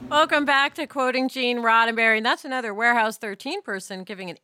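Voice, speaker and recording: A woman's voice: on the high side, quick pace, background noise. A woman hosting a podcast in a conversational way, introducing and questioning guests. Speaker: podcast host.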